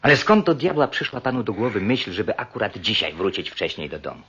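An actor as a sharp, forceful, calculating doctor. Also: male voice, on the low side, big pitch swings, a little fast, slightly rough, full character voice. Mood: urgent, calculating. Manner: sharp, commanding, cynically reasoning. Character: self-serving, calculating doctor, the wife's lover. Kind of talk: Polish radio play acting. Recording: muffled radio-play recording with background noise.

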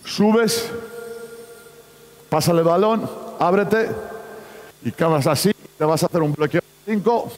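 Coach's voice echoing through a gym. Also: male voice, a little high, a little slow, long pauses. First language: not Spanish. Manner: fragmented instructions, calling out to players. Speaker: basketball coach giving a clinic. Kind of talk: on-court coaching demonstration. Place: large indoor basketball arena. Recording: echoey sports hall.

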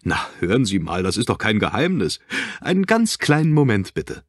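A man reading an audiobook, voicing a chirpy, eager young female receptionist in a bright, gushing, chatty manner. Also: lowish voice, sing-song, normal speed, no echo, studio quality. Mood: breezy, helpful.

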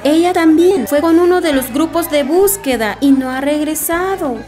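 A woman playing chatty village woman, very high voice, anxious, radio soap, music behind. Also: moderate pace, darkish tone, natural voice. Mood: concerned, friendly. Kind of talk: radio soap opera acting. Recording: radio drama with music underneath.

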